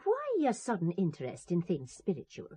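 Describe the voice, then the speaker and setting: A sharp-tongued, devout Irishwoman in an audio drama. Her Irish accent rises and falls with great animation as she argues and teases. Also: on the low side, huge pitch swings, moderate pace, breathy edge, full character voice. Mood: wry, teasing. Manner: spirited, argumentative, teasing.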